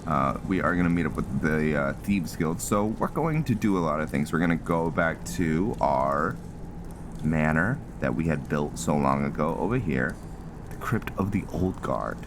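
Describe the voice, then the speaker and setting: A man hosting a gaming video with casual, rambling, halting commentary over the game. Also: very deep voice, lively intonation, noisy background. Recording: clear game ambience behind voice. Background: game sounds.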